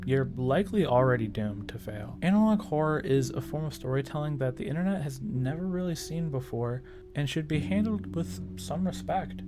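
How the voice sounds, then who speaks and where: A man hosting a video, narrating scripted tips in a tutorial style. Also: mid-range voice. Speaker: video host giving tips.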